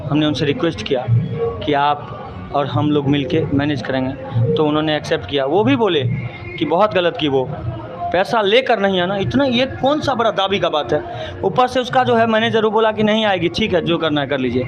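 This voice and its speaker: A man's voice: on the high side, animated, normal speed, over music, rough recording. A man with a Bihari accent giving indignant, emphatic interview answers and repeating his points. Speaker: stage show performer, interviewee.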